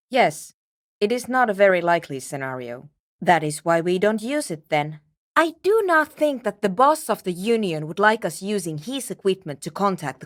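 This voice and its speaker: A woman's voice: mid-range voice, fairly bright tone, no echo. Video game role: pragmatic female programmer, blunt and formal, swinging intonation, very dry close sound. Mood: skeptical, dismissive. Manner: dry, matter-of-fact, precise, formal wording. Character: frank, pragmatic, no-nonsense female radio engineer and programmer. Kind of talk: scripted video game character dialogue.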